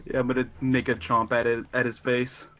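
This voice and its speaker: Man with a bright, forward voice. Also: mid-range voice, completely flat delivery, fairly quick. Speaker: player in a tabletop roleplay game. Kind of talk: casual game chat.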